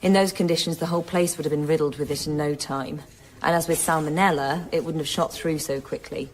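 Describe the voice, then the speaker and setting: A woman playing a brisk, dismissive family doctor in a naturalistic radio drama, firm, matter-of-fact and condescending. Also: sits low, steady tone, slight background noise, lightly acted.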